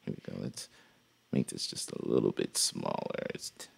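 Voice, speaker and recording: Deep-voiced man with a gravelly edge. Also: moderate pace. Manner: relaxed and folksy, with frequent "uh" fillers. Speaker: music production instructor. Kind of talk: casual software tutorial.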